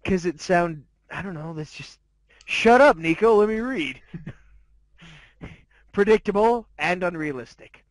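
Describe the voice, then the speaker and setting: A man's voice: sits high, expressive, unhurried, dry recording, poor audio. A man hosting a fan fiction reading, chatting casually with his co-host between passages.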